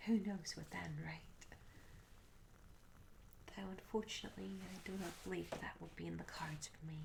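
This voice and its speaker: Woman playing a soft, whispery young tavern maid. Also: fairly low pitch, background noise. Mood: wistful. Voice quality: soft, breathy half-whisper. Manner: gentle, hesitant, old-fashioned formal wording. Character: curious, shy young medieval tavern maid, wistful and polite. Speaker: ASMR roleplayer. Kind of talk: soft-spoken ASMR roleplay. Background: tavern ambience sounds.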